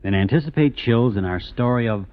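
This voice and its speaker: A man announcing an old-time radio horror show in a grave, ominous, deliberate manner. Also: medium pitch. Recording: muffled narrow-band old radio recording.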